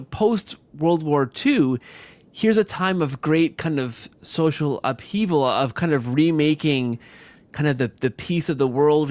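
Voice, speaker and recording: A man hosting a podcast interview, talking conversationally in long, rambling questions that restate themselves and seek confirmation. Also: fairly high pitch. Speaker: podcast host.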